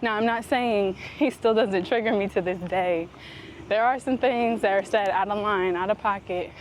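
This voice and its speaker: A woman speaking reflectively and conversationally as a vlog host, with deliberate, halting phrasing and emphatic pauses. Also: mid-range voice. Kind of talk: reflective conversational talk while walking. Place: outdoors, walking up a paved hill. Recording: noisy outdoor recording with traffic.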